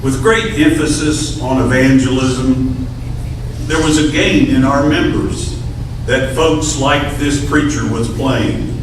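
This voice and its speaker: A man's voice: medium pitch, fairly even intonation, slow, recorded from a distance. A man reading a rhyming poem aloud as part of a church readers theater. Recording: echoey church hall from afar, noisy.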